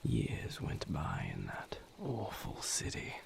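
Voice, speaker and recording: A low, gravelly vampire lover, acted by a man speaks in an intimate roleplay monologue. He is brooding and somber, confiding a grim past slowly and haltingly in a breathy murmur. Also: huge pitch swings, exaggerated voice. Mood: weary, regretful. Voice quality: breathy, gravelly near-whisper. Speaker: audio roleplay performer.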